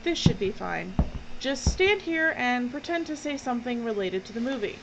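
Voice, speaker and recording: A woman acting a brisk, sardonic, no-nonsense film director in an audio drama. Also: fairly high pitch, sing-song, vocal fry. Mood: brisk, bossy. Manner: bossy, wry. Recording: dull, slightly noisy recording.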